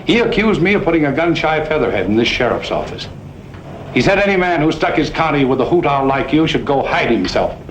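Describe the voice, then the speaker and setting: A man's voice: fairly high pitch, sing-song, fairly quick, roomy sound, slight background noise, poor audio. An actor playing a domineering father in a drama, scolding and commanding. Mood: indignant. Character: domineering, demanding father berating his lawman son. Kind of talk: Western drama acting.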